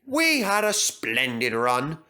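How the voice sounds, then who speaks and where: A man's voice: fairly high pitch, wildly swooping pitch, takes it slowly, pushed and bright, smooth, exaggerated voice. A man voicing a polite, cheerful steam engine in a children's story, courteous and earnest. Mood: apologetic.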